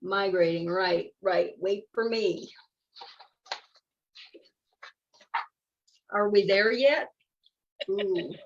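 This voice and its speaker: Older lady doing a antsy wisecracking boy caterpillar from a storybook, gravelly, eager, bit muffled. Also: mid-range voice, takes it slowly, a little hiss or hum. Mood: eager, proud. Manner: eager, self-coaching, exclamatory. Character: impatient, wisecracking young male caterpillar, boastful yet anxious. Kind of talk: children's picture book read-aloud. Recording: dull lo-fi audio.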